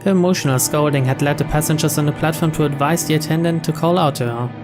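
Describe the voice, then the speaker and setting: Higher-voiced man narrating a story over music. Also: quick pace. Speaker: let's player reading a visual novel aloud. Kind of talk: scripted story narration read aloud.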